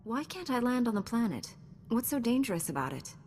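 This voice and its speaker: A woman's voice: on the high side, sing-song, brisk, darkish tone, slight background noise. An actress playing a young, composed female Jedi hero, cool and self-assured, in a video game. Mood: cool, inquiring. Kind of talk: scripted game dialogue.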